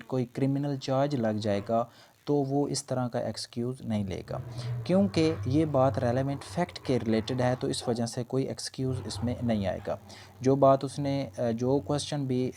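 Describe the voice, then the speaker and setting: A man's voice: mid-range voice, warm tone. A man giving an explanatory law lecture, repeating and rephrasing his points as he goes. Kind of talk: lecture explaining legal points in Urdu mixed with English terms.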